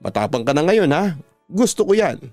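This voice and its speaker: A man's voice: fairly high pitch, very animated, moderate pace, darkish tone, no echo, faint music behind, pitched above the performer's own voice. A Filipino man voicing a possessive, manipulative ex-boyfriend in a radio drama, insistent in his delivery. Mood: menacing. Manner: pleading turning insistent and threatening.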